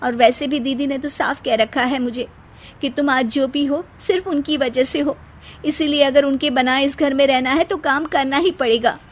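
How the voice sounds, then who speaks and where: A woman's voice: high voice, steady tone, moderate pace, a little hiss or hum, voice pushed up, natural voice. A woman voicing a scheming young wife in a cartoon moral story, sweet-talking and complaining by turns. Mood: nagging, complaining. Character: scheming, sugary, whiny young wife and sister-in-law.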